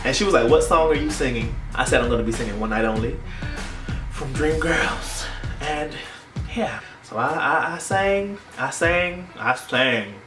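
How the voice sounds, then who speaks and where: Young adult American male vlogger, animated storytime delivery, roomy sound with faint music underneath. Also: medium pitch, a little slow. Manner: animated storytelling, acting out quoted dialogue. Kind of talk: casual storytime chat. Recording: roomy echo, distant mic, faint music.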